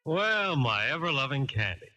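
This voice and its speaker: Breezy teasing police inspector, animated intonation, 1940s radio drama, dull reverberant recording. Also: male voice, mid-range voice, normal speed, slight background noise. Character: lovable, shaggy-dog police homicide inspector.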